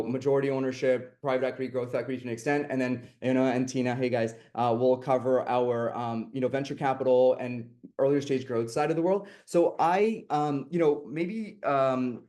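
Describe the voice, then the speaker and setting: A man's voice: mid-range voice, mellow. A man moderating an online finance panel, rambling with lots of fillers and restarts.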